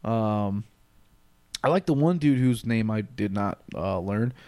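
A man's voice: lowish voice, measured pace, warm tone. A man in his late twenties co-hosting a podcast, chatting casually, rambling, laughing often and swearing freely. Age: late 20s. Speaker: podcast co-host. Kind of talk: casual chat.

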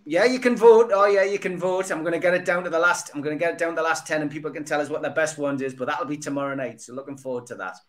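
Male podcast host, slightly higher voice, jokey and chatty, reads the chat. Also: steady tone, rapid-fire, few pauses. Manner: jokey, chatty, reads viewer comments aloud. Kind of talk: casual chat.

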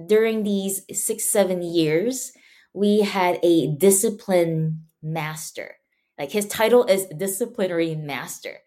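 A woman from Malaysia speaking as an interview guest. She tells stories in an animated way and acts out the voices of scolding adults she quotes. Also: medium pitch. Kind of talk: conversational interview.

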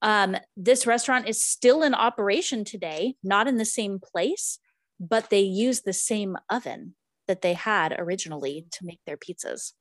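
A woman's voice: medium pitch, normal speed, no echo. Chatty woman podcast host, dry sound. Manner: chatty, fillers and restarts, laughs at herself. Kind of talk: casual chat.

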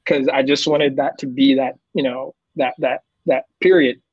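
Man, comic writer, husky, slightly high, rambling casual talk, dull dry sound. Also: fairly even intonation, normal speed, no echo. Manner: loose, filler-heavy, acts out voices with bursts of laughter. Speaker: comic book writer, guest. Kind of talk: casual conversational interview.

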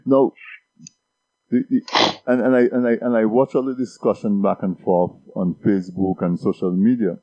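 A man's voice: lowish voice, steady tone, normal speed, dry recording. Man from Dominica, casual talk guest, stammering repeats, dry lo-fi recording. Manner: repeats words while building points. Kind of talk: casual discussion. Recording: dull lo-fi sound.

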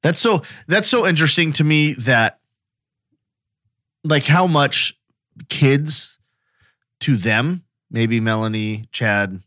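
A man's voice: medium pitch, expressive, slow pace, long pauses, bright, forceful tone, no room sound, studio quality. A man co-hosting a podcast in casual conversation, musing aloud and asking questions. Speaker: podcast co-host.

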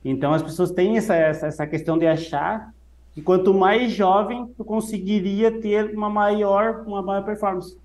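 A man with a mellow, slightly high voice co-hosts a running podcast in a relaxed, conversational way. He explains his points patiently and repeats key phrases for emphasis. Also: normal speed. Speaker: running coach, podcast co-host. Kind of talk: casual podcast discussion.

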